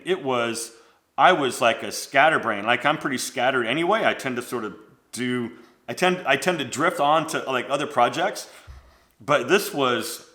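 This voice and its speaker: A man's voice: mid-range voice, bright, forceful tone, roomy sound. A man in his forties with a stuffy nose from a cold, hosting a casual vlog and rambling through his thoughts with a lot of "like" and restarts. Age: 40s. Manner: rambling, self-interrupting, lots of "like". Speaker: fashion channel host.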